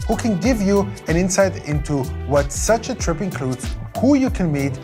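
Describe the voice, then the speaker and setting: An upbeat man narrates his vlog in a promotional tone. Music plays clearly behind his voice. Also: sits high, big pitch swings, normal speed. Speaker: vlog host.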